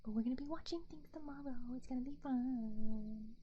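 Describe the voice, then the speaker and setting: A woman streamer chatting casually in a soft, gentle, breathy ASMR whisper, close to a binaural microphone. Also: high-pitched, a little fast, dull audio, slight background noise. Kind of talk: whispered ASMR casual livestream chat with bits of singing.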